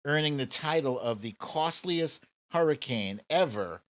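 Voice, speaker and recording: American guy doing casual livestream commentary, slow unhurried pace, repeats stuff for emphasis. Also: fairly high pitch, expressive, fairly bright tone. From United States. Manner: conversational, informal with swearing, emphatic repetition. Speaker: livestream host. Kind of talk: casual political commentary to a live audience.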